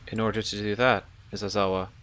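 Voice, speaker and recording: A ship's computer, played by a man at a game table speaks in formal, measured phrases. The voice is fairly low and strikingly crisp-edged and bright, with a matter-of-fact manner suited to a sci-fi tabletop game. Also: level tone, natural voice. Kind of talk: tabletop roleplay.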